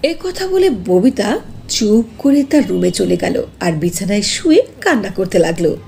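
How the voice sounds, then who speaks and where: A woman narrating a scripted cartoon fairy tale in an animated, expressive way. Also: medium pitch, moderate pace, creaky, slight background noise.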